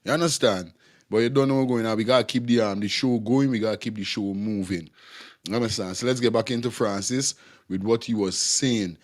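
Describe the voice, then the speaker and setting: A man's voice: lowish voice, brisk. A man hosting a call-in talk show in a casual, laid-back way, moving callers along.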